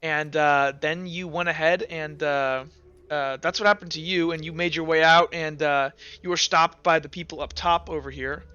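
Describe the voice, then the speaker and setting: A man working as game master, improvising recap and scene narration for a tabletop game in a halting way, circling back over his phrases. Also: a little high, fairly even intonation, bright, forceful tone, clean tone, dry recording, lo-fi sound.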